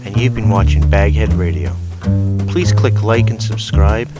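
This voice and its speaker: Low-voiced male host over loud music. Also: measured pace. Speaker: channel host. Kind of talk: scripted channel sign-off.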